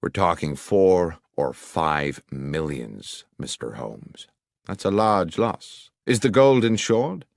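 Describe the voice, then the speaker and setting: A man's voice: deep voice, sing-song, takes it slowly, vocal fry, dry recording, clean studio sound, pitched below the performer's own voice, heavily acted. A man voicing a formal, wordy middle-aged businessman client who is fond of puns, in an audiobook. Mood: grave, wry. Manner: formal, long-winded, jovially self-amused. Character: anxious middle-aged businessman client, verbose, pun-loving. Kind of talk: audiobook character dialogue.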